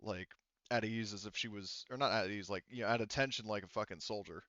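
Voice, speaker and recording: A man game-mastering a tabletop game, explaining things in a halting, rambling, casual way. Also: fairly low pitch, bright, forceful tone, no room sound, dull audio.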